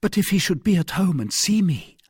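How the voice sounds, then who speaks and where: A man's voice: sits high, sing-song, fairly quick, husky, no echo, studio quality, voice pushed up, exaggerated voice. A man voicing an anxious, pleading young married woman in an audiobook, with eager, formal old-fashioned speech. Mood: wary, earnest. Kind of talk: audiobook character voice.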